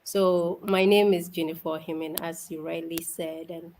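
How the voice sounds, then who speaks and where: A young woman from Ghana, a guest on an interview show, cheerful and soft-spoken, laughing often as she talks. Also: lowish voice, fairly even intonation, a little slow, slight background noise, poor audio. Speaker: guest, event organizer. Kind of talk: casual interview.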